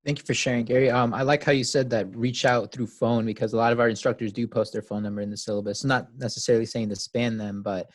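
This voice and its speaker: Guy hosting an online forum, kinda halting and informal, mid pitch, dry sound. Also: fairly even intonation, dry recording. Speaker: moderator of a student open forum. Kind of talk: casual moderating of an online meeting. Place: online video call.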